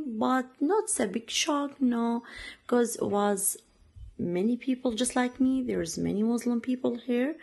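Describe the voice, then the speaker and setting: Slow, halting woman from Iraq. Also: fairly high pitch, vocal fry. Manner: halting, many fillers and restarts, second-language phrasing. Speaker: interviewee. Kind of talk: personal story, casual.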